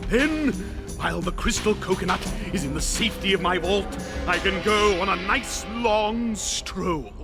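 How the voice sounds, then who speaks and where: Cartoon villain: vain, hot-headed lizard monarch, theatrical, slow grandiose delivery, loud music behind. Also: male voice, sits high, animated, gravelly, exaggerated voice. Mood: gloating. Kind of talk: exaggerated cartoon voice acting.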